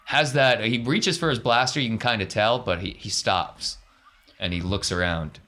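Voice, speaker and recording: A man with a lowish voice runs a tabletop role-playing game. He sets scenes in a loose, conversational way, like chatting with the players. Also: moderate pace, bright, forceful tone. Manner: informal, conversational scene-setting. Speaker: game master.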